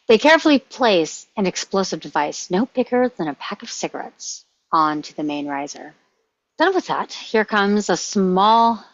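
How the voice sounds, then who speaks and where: A woman's voice: deep voice, muffled recording. A woman reading stage directions as scripted narration at a screenplay table read.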